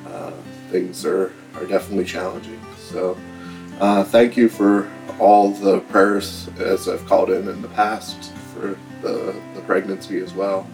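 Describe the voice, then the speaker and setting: A man calling in to ask for prayer for his pregnant wife, speaking slowly and hesitantly with many pauses. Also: low voice, a little mellow. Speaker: caller. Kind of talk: phoned-in prayer request.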